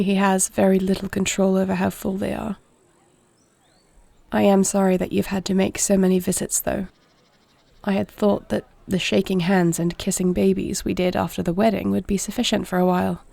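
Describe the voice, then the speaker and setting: A woman playing a duty-bound, caring warrior princess in an audio roleplay, speaking to the listener in a formal, measured, creaky voice. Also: medium pitch, level tone, no room sound. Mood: measured, explanatory. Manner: formal, measured, soft-spoken speech.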